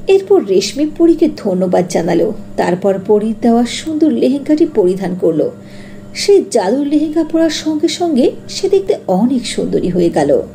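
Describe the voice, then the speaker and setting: A woman narrates a cartoon fairy tale in a fairly high, very animated voice. Her tone is mellow, and there is faint noise behind her. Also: moderate pace, creaky.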